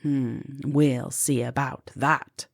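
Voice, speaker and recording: A woman voicing a small, plucky young female moth in a children's storybook, with gasps and excited exclamations. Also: rumbling low, slow, gravelly, dry recording, studio quality, pitched below the performer's own voice, exaggerated voice. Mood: determined.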